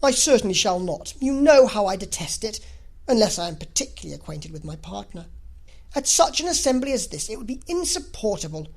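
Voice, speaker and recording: Woman as a haughty, cold young gentleman. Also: medium pitch, big pitch swings, fairly quick. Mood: disdainful. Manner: haughty, disdainful, formal. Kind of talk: classic novel audiobook character reading.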